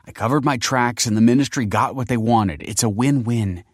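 A man's voice: mid-range voice, moderate pace, no echo, studio quality, voice pushed up, natural voice. A man voicing a distraught, defensive wife who pleads tearfully and justifies herself in a dramatic story reading. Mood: defensive, agitated. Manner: pleading, self-justifying, emotional. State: tearful, sniffing.

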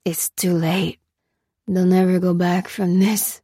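A woman's voice: fairly low pitch, little pitch movement, takes it slowly, warm tone, husky, dry recording, studio quality. A woman voicing an exhausted, sick male major held prisoner in an audiobook, slurring and struggling over words in pain. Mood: defeated. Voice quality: slurred, effortful. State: weak and in pain.

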